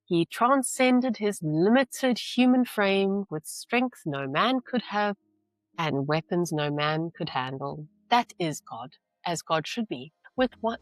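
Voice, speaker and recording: A woman teacher reading a novel aloud with deliberate, emphatic phrasing and brief explanations. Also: on the low side, dry recording.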